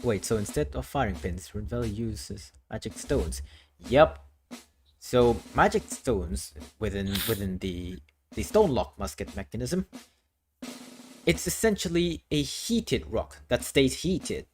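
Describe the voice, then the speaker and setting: A man's voice: medium pitch, sing-song, measured pace, a little mellow, a little hiss or hum. A man from Thailand, a streamer explaining his worldbuilding lore in a casual, explanatory way, often restarting phrases.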